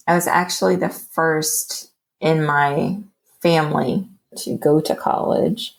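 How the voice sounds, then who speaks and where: A woman in her forties from Texas, a podcast guest telling her life story in an unhurried, thoughtful way with small laughs. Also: low-pitched. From Texas, USA. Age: 40s. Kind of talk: relaxed storytelling.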